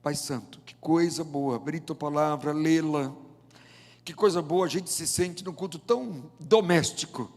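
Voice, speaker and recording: Male pastor, 70s, Brazilian accent. Fairly high voice, measured emphatic sermon delivery, long auditorium reverb. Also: a little mellow. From João Pessoa, Paraíba, northeast Brazil. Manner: deliberate, emphatic phrasing with rhetorical questions and pauses. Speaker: pastor, preacher. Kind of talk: sermon with scripture reading and prayer. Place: large indoor church auditorium.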